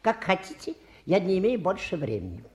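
An elderly, old-fashioned touring showman from the provinces. He speaks pompously and grandly, with a pitch that swings widely, in the manner of 1950s radio theatre. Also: huge pitch swings, brisk, darkish tone. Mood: pompous, insistent. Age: older. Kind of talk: 1950s Russian radio play acting.

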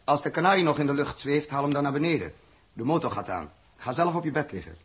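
A husky, commanding spaceship captain. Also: male voice, fairly high pitch, animated, fast. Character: commanding, practical spaceship captain running his crew. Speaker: radio drama actor. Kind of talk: 1960s Dutch radio play acting.

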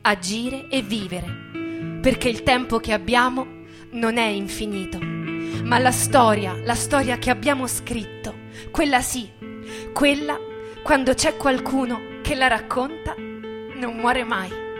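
A woman reads in a dramatic, earnest and lyrical stage style. Her voice sits slightly high, with plucked guitar clearly playing behind her. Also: slow. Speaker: stage performer. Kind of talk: dramatic theatrical reading. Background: plucked guitar music.

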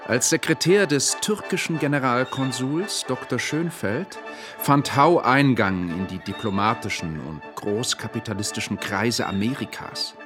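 Lively male announcer over music. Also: mid-range voice. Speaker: announcer and narrator. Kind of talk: formal scripted documentary reading.

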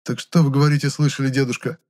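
Man acting young male story hero, insistent, bossy, impatient, raised pitch. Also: little pitch movement, fast, darkish tone, natural voice. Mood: probing. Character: young Komsomol lad questioning people, insistent, impatient, bossy.

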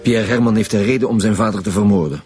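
An actor with a Flemish accent playing a sceptical, methodical police commissioner in a radio drama. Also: male voice, fairly low pitch, fast, a little mellow, soft background music, lightly acted. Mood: matter-of-fact. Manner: probing, matter-of-fact.

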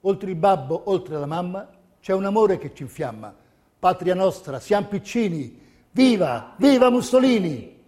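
A man's voice: sits high, animated, measured pace, darkish tone, pitched above the performer's own voice. A man playing a proud little fascist schoolboy on stage, reciting rhymed verse in an eager, sing-song, declamatory way. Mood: fervent.

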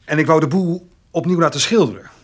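A man's voice: on the high side, brisk, breathy edge. An actor playing a shy, awkward country man in a naturalistic radio drama, speaking hesitantly and in a roundabout way. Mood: sheepish.

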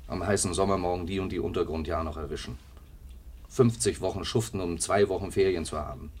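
A man's voice: low-pitched, fairly quick, a little mellow, breathy edge. An actor playing a restless, self-doubting drifter in his thirties in a 1950s German radio play, brooding, given to bursts of fervour. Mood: brooding, despairing. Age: 30s.

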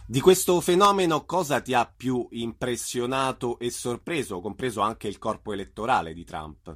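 A man's voice: medium pitch, expressive, normal speed. A man interviewing a journalist, asking questions and murmuring agreement. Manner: short backchannel murmurs.

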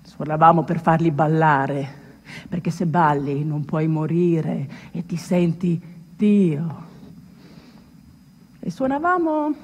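A woman acting a nostalgic ship's jazz musician who tells his story in a theatrical monologue with lingering pauses. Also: low-pitched, a little mellow, long reverb tail, a little hiss or hum. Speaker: stage actor.

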